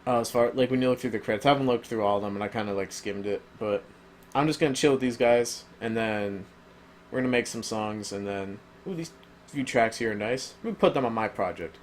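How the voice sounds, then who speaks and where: A man's voice: lowish voice, a little fast, a little hiss or hum. A man giving a casual, rambling spoken review, full of ums and uhs, who says he is losing his voice. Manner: rambling, filler-heavy, opinionated. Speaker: album reviewer.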